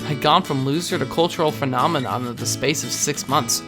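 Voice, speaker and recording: An awkward teenage boy played by a man in a comic dub, sardonic and self-deprecating. He speaks confessionally, like a would-be hero telling his own story, with music clearly underneath. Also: medium pitch, fairly quick, close to an everyday voice. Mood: wry narration. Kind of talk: comic dub narration and dialogue.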